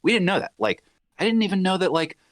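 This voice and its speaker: Animated man in his thirties, higher-pitched voice. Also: fast, no echo, studio quality. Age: 30s. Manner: animated, emphatic, profane. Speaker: podcast guest. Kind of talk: casual chat.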